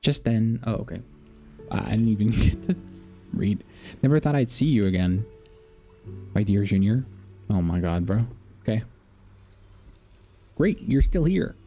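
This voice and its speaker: A man streaming a video game, reading its dialogue aloud haltingly and dropping in casual asides. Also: medium pitch, creaky, dark tone. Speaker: gaming streamer. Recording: faint game music behind. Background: faint game music.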